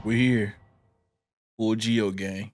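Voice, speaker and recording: A man's voice: fairly low pitch, fairly even intonation, drawn-out words, a little hiss or hum. A man giving casual, slangy reaction commentary as a gaming streamer. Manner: slangy, laid-back. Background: faint game music.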